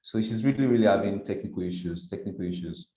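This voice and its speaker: A man moderating an online training session over a video call. He fills pauses with lots of hesitant ums. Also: on the low side, reverberant, dull audio.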